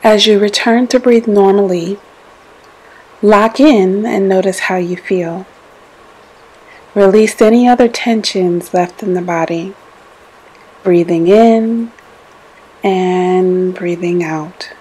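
A woman with a soft-edged, mellow voice guides a meditation. She speaks very slowly and gently, leaving space between short, soothing instructions. Her delivery is deliberate and scripted. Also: medium pitch, husky, poor audio.

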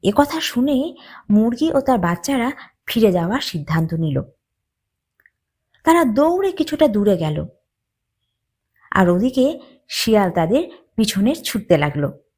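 Slow, very expressive woman storyteller. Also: fairly high pitch. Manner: deliberate, dramatic phrasing. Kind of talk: expressive narration of a children's moral story.

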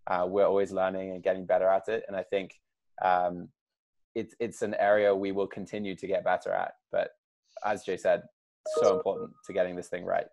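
A man in his late twenties with an English accent, a startup co-founder answering interview questions in a measured way with fillers and restarts. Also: low-pitched, little pitch movement, darkish tone. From England. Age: 20s.

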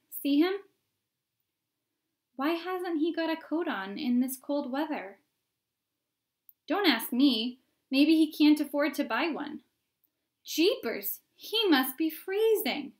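A woman voicing excited, gossipy storybook onlookers shouting in a crowd, with emphatic exclaiming. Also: high-pitched, pitched above the performer's own voice. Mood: curious, judging. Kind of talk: storybook character voices.

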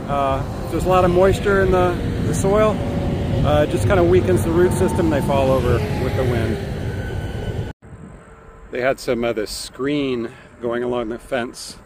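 A man in his fifties with an American accent gives casual vlog commentary in a halting, matter-of-fact way, recorded outdoors on a phone. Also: mid-range voice. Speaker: cycling vlogger. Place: outdoors by bike paths and roads. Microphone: phone at arm's length. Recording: noisy outdoor audio with traffic ambience.

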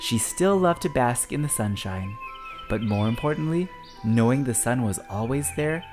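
Expressive storybook narrator over music. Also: medium pitch. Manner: expressive, dramatic, deliberate storytelling. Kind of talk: scripted children's storybook narration.

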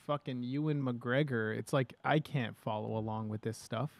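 A man in his thirties hosting a casual podcast at a desk mic, animated and enthusiastic, with casual swearing. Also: mid-range voice, moderate pace, dry recording. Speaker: podcast host. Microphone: studio microphone at a desk.

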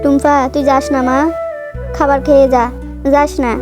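A woman's voice: high voice, little pitch movement, normal speed, over music, natural voice. A woman playing a poor, caring but exasperated mother in a children's cartoon, with a fretful motherly manner. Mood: worried. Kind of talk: children's fairy-tale cartoon dialogue.

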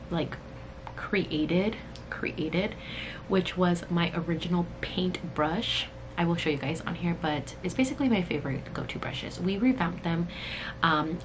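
A woman in her thirties talking casually to the camera as a vlogger, chatty and addressing her viewers directly. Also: on the low side. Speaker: vlogger and cosmetics brand owner. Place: small indoor room at work. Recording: clear room noise, camera audio.

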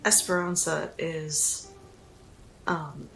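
A woman's voice: low-pitched, steady tone, extremely slow, gravelly, fairly bright tone, soft background music, low-quality recording. A woman improvising character description as a player in a tabletop game, thoughtfully piecing her phrases together. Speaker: player in a role-playing podcast.

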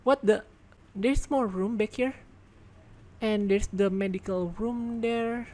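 A woman giving casual gameplay commentary as a reviewer, excitable and thinking out loud, congested and losing her voice. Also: mid-range voice, slow pace, slight background noise. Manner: excitable, exclaims in surprise, thinks aloud. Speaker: game video reviewer.

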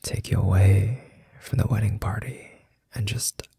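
Man as romantic ASMR husband, deep, gravelly, breathy whisper, longing, intimate. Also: steady tone, unhurried, mellow, no room sound, clean studio sound, heavily acted. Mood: longing, amorous. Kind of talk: ASMR boyfriend roleplay.

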